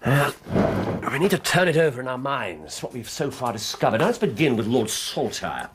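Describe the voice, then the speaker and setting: Shrewd english gentleman detective, really swoopy expressive delivery, precise, radio play, bit of background noise. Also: medium pitch, moderate pace. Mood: brooding, intent. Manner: incisive, theatrical, precise. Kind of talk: radio drama acting.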